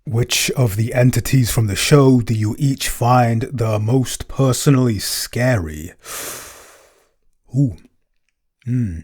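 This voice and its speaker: A man's voice: mid-range voice, takes it slowly, a little mellow. A man co-hosting a podcast in casual chat, talking freely with many "um" and "you know" fillers. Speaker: podcast co-host and editor.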